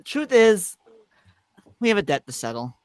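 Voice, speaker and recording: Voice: mid-range voice, very animated, a little slow, clean tone, no room sound. Theatrical godlike alien trickster, voiced by an amateur reader, grandiose and wheedling, swooping intonation, close dry sound. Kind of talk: live script table read.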